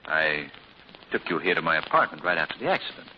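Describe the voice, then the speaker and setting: An actor playing a suave, wealthy young man about town in a 1940s radio drama, relaxed, teasing and assured, on a muffled old broadcast recording. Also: extremely low pitch, quick pace, slight background noise. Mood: calm.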